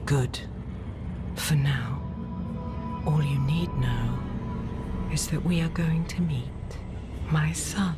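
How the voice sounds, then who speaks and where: A woman playing a commanding demon mother in a fantasy game, with a breathy, half-whispered voice and a measured, seductive, imperious manner. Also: low voice. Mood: coaxing, cryptic. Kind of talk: dramatic fantasy game cutscene acting. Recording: loud cinematic music behind.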